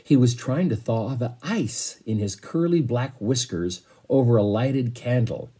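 A man in his fifties reading a story aloud, pausing for casual explanations. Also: mid-range voice, animated, dark tone, breathy edge. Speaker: teacher reading to a class. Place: small bedroom, indoors.

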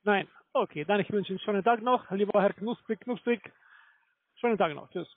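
Male sales agent, high register, courteous and halting, tinny telephone sound. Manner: polite, chatty, hesitant. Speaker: call-centre sales agent. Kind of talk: polite sales phone call. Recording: narrow tinny phone line.

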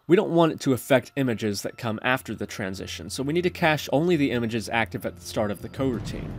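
A man hosting a casual coding tutorial, talking through each step in an informal, chatty way. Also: medium pitch. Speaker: tutorial host. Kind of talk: casual screen-recorded coding tutorial narration.

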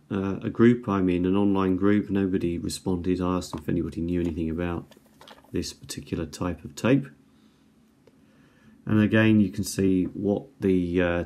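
A man's voice: low voice, a little mellow. A man giving casual unboxing commentary as a video host, hesitant and musing as he thinks aloud. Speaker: video host reviewing items. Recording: home recording with faint background noise.

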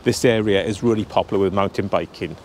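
A man's voice: on the low side, quick pace, a little mellow, a little hiss or hum. Chatty man vlogging outdoors at arm's length. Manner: chatty, talking straight to camera while walking. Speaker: travel vlogger. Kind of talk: casual vlog walk-and-talk. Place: outdoors on a cold hillside. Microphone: handheld camera at arm's length.

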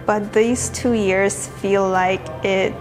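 A woman's voice: medium pitch, steady tone, slow pace, faint music behind. A young woman answering interview questions as a student in a campus stairwell, sincere and reflective. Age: young adult. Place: stairwell of a campus building, indoors. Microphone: lapel.

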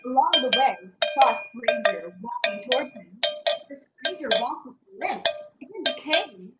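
A woman's voice: high voice, animated, a little slow. A woman reading scripted storybook narration on a video call from a bedroom. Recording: noisy, roomy video call audio.

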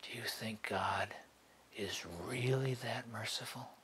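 A man playing a bitter, sardonic convict awaiting execution in a dramatic monologue, taunting and hushed, with long pauses. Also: mid-range voice, a little hiss or hum. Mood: bitter, questioning.

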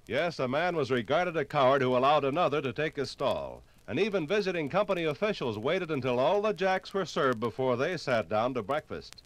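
A man narrating a scripted 1950s radio documentary in a measured, dramatic storytelling manner. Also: mid-range voice, expressive, no echo, poor audio.

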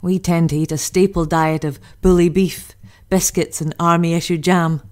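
A woman's voice: on the low side, steady tone, moderate pace, darkish tone, close to an everyday voice. A woman playing a homesick, chatty First World War diarist, in a dramatic monologue. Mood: wistful, chatty. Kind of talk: dramatic monologue, diary reading.

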